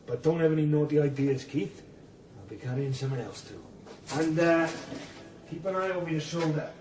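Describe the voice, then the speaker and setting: A man acts a wary underworld financier in a radio thriller, measured and quietly threatening. His voice is mellow, heard at a distance in an echoey space with faint ambience. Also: on the high side, a little hiss or hum, natural voice. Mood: businesslike, menacing. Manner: measured, businesslike menace.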